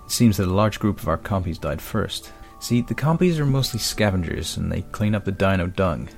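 An actor playing an earnest male scientist in an audio drama, explaining things in a measured, polite way. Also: sits low, lively intonation, vocal fry, soft background music. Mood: concerned, defensive. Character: earnest male genetic scientist defending his dinosaur work.

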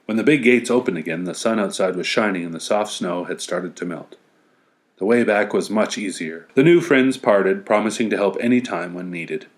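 A man's voice: on the low side, normal speed. A man reading a story as narration, with wry, playful asides. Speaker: story reader.

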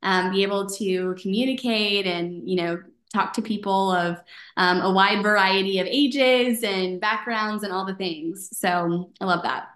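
A woman hosting an interview show, upbeat and quick with her banter. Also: mid-range voice, a bit bright, echoey room. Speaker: podcast host. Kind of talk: casual interview.

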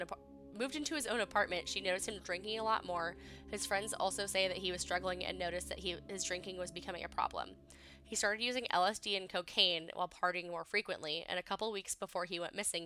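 A woman's voice: fairly low pitch, pushed and bright. A young woman co-hosting a casual podcast at home. She is recovering from a cold, sounds a bit sniffly, and chats loosely with lots of ums and laughs. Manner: chatty, laughs often, many ums. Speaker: podcast co-host. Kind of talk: casual true crime podcast chat. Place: at home, remote call.

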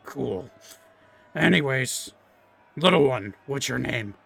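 A man's voice: mid-range voice, expressive, slow pace, creaky, a bit bright, heavily acted. A man voicing a brawny, boastful male arm-wrestling champion who gloats and sulks when he loses. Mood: sulky. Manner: gloating, sore loser.

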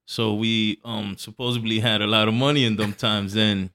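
A man's voice: fairly low pitch, steady tone, moderate pace, sharp tone. A man from Puerto Rico and Chicago, a podcast guest chatting casually in street slang with frequent conversational tags. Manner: slangy, punctuated with "you know what I'm saying". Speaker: podcast guest, event promoter. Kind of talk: casual autobiographical chat.

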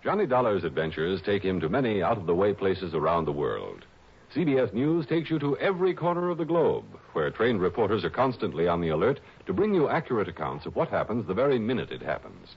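Polished husky 1960s radio announcer man. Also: fairly low pitch, huge pitch swings, brisk, dull audio. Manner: polished, earnest, formal. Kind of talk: scripted network promo announcement.

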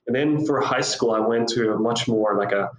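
A man's voice: mid-range voice, fast talker, roomy sound, rough recording. A man in his thirties from Costa Rica, with a Costa Rican accent that carries a slight South African tinge, speaking as an interview guest. He tells his story in a reflective, hesitant way, with many fillers and restarts.

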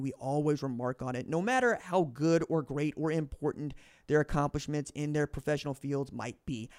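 A man's voice: a little high, no echo. Dry-voiced male podcast host reading aloud. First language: English. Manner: stumbles and corrects himself mid-sentence. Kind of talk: reading a book aloud with personal commentary.

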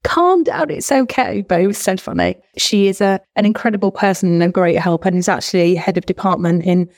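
A woman's voice: mid-range voice, a little fast, darkish tone. Chatty, enthusiastic Englishwoman in her forties. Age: 40s. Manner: chatty, enthusiastic, laughs often. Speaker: guest, former language teacher. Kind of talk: casual interview chat.

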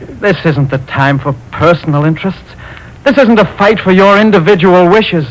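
An actor playing Goodwill, an earnest, stern and kindly spirit who lectures a couple, in a 1940s radio play. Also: male voice, high-pitched, moderate pace, a little hiss or hum, natural voice, poor audio. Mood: stern preaching. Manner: earnest, sermonizing, commanding. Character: Goodwill, a wise preachy man, stern and kindly.

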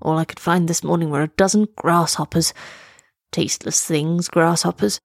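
A woman voicing a grumpy but obliging old turtle in a children's storybook, muttering and unhurried. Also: on the low side, steady tone, vocal fry, no room sound, studio quality.

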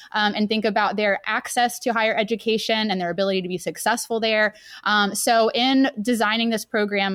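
A woman's voice: mid-range voice, no room sound, clean studio sound. A woman, introducing guests at a live event, earnest and with many "um" fillers. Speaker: program director introducing guests. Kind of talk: prepared remarks at a live event.

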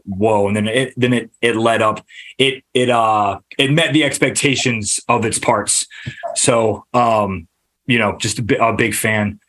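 A man hosting a podcast interview, asking long, halting questions full of restarts and fillers. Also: fairly low pitch, a bit bright. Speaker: podcast host. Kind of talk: casual interview.

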